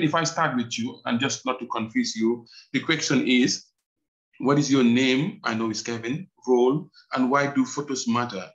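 A man's voice: medium pitch, fairly bright tone, dull audio. A man moderating an online panel discussion over a video call, hesitant with many fillers.

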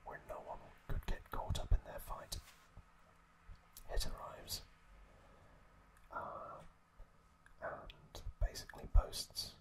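A man whispering softly as an ASMR host, recapping episodes in a halting way with many pauses. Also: low-quality recording. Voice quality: soft unvoiced whisper.

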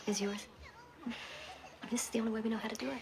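An actress playing a forceful woman therapist in a film drama, insistent and badgering, with short repeated commands. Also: fairly high pitch, fairly even intonation, fast talker. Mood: earnest, defensive. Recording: clear background noise.

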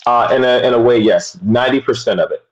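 A man in his thirties, a teacher and interview guest, speaking in an articulate, measured way over a video call. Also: medium pitch. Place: home room. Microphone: webcam on a video call. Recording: dull lo-fi video call audio.

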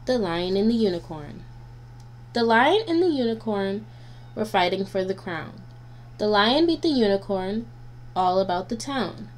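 A woman reading nursery rhymes aloud for children as a guest reader, in a deliberate, sing-song way. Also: medium pitch, lively intonation. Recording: noisy home recording with hum.

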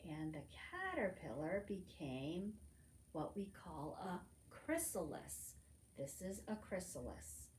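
Middle-aged woman, preschool storytime reader, swooping expressive pitch, teacherly, unhurried delivery, roomy library sound. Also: slight background noise. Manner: teacherly, expressive, simple questions to children. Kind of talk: picture-book read-aloud for preschoolers with simple asides. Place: library room among bookshelves, indoors. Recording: roomy indoor echo.

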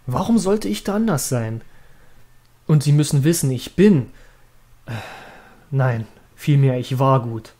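A man's voice: medium pitch, animated, warm tone. Haunted young trainer, acted by a man, brooding. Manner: dramatic, halting at moments, brooding. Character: haunted young male Pokémon trainer recounting his downfall. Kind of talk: German horror audio drama narration and acting.

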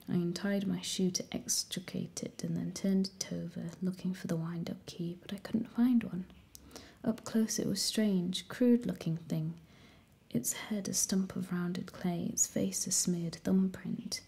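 Woman whispering a story, mellow and low, flat soft delivery. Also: measured pace, creaky, husky. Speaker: audiobook reader. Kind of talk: whispered ASMR story reading.

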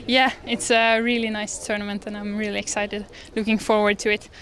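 A woman's voice: medium pitch, level tone, a little fast, fairly bright tone. A young woman from Norway with a Norwegian accent, answering interview questions casually in a hesitant, good-humoured way. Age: young adult. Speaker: ski jumper being interviewed. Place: large indoor lobby. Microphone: handheld reporter microphone.

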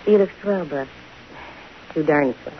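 An actress playing a dry, wisecracking, self-possessed young private detective in a 1950s radio drama. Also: female voice, low-pitched, a little slow. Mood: cool, wistful. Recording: hissy, muffled 1950 radio broadcast.

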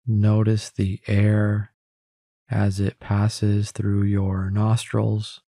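A man leading a guided meditation in a calm, gentle, unhurried way, giving simple instructions with pauses. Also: fairly low pitch, creaky, dry recording, studio quality. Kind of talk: guided meditation, scripted narration.

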